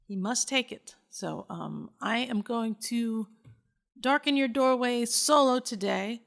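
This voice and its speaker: Slow-talking chatty woman podcast host. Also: fairly high pitch, vocal fry, a bit bright. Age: middle-aged. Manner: chatty, rambling, many fillers. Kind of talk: casual solo podcast chat.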